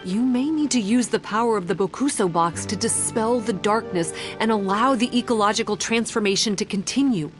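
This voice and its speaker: Actress doing a calm businesslike young woman guide in a game, medium pitch, measured, clear music under it. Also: lightly acted. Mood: composed. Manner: measured, explanatory. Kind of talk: video game voice acting. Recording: music playing behind the voice. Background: game background music.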